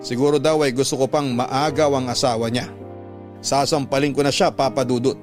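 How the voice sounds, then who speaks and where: A man's voice: a little high, a little mellow. A man narrating a first-person confessional story in the dramatic style of a Filipino radio drama.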